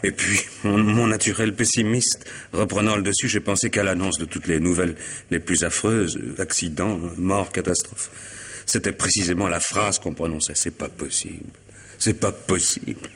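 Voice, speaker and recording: An actor playing an anguished, disillusioned man who feels hunted, halting and brooding, in a French radio mystery drama. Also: deep voice, fairly even intonation, slight background noise. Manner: halting, repeats words, brooding.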